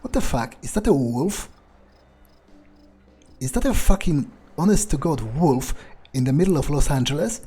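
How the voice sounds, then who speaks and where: A man with a soft-edged, husky voice talks his way through a video game in character. He muses to himself in a relaxed tone, pausing as he thinks and cursing freely. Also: on the high side. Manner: musing, hesitant, profane. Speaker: let's player role-playing in character. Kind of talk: improvised in-character game commentary, thinking aloud.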